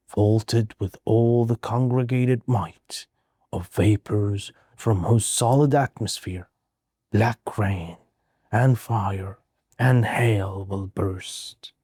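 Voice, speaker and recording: Guy reading a poem really slowly, solemn and dramatic, soft dark tone, very dry close sound. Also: fairly low pitch, no echo. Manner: solemn, measured, with deliberate pauses. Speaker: poetry reader. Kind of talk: slow dramatic poetry recitation.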